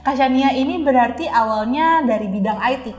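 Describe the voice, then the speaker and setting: High-voiced young woman host, echoey room. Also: a little fast, darkish tone, highs cut off, soft background music. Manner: informal, inquisitive, frequent acknowledgements. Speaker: podcast host, interviewer. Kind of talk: casual interview.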